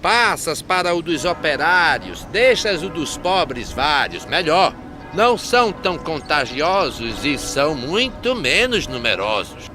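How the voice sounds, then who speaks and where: An actor playing a grumbling, sardonic gravedigger in an animated film, declaiming rhymed verse. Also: male voice, on the high side, a little slow, over music. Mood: wry.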